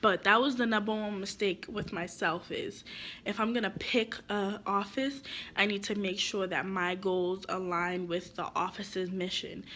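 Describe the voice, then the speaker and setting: A woman's voice: mid-range voice, a bit bright. Young woman vlogging tips, kinda stuffy cuz she's sick, rambly and chatty, faint hiss behind. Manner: chatty, rambling with many fillers. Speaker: real estate vlogger. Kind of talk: casual advice to camera.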